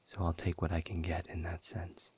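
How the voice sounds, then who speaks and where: A man playing a weary, bitter demon captive in an audio roleplay, speaking in a husky, breathy voice with measured, sardonic formality. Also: low voice, completely flat delivery, a little mellow, slight background noise, close to an everyday voice. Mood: cynical, resigned. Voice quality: husky, breathy, often dropping toward a whisper. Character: weary, bitter, guarded male demon captive, quietly defiant. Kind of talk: scripted audio roleplay monologue.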